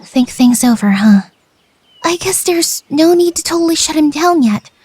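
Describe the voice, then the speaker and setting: A woman's voice: sits high, lively intonation, normal speed, a little mellow, dry recording. A woman voicing an earnest, naive older teenage schoolgirl in an anime fandub, musing and mild-mannered. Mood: thoughtful. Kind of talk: anime fandub radio play.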